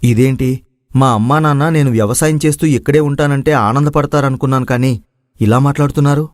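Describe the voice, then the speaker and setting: A man's voice: mid-range voice, fairly even intonation, fairly quick, a little mellow, no room sound, studio quality, close to an everyday voice. A man acting an earnest, aggrieved young village son in a moral story drama. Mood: puzzled. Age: young adult.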